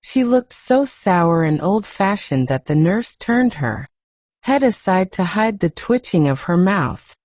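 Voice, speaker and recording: A woman reading a classic children's novel aloud in a soft, gentle audiobook style. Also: big pitch swings, measured pace, no echo, clean studio sound. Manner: gentle, acts dialogue with raised pitch. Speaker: narrator.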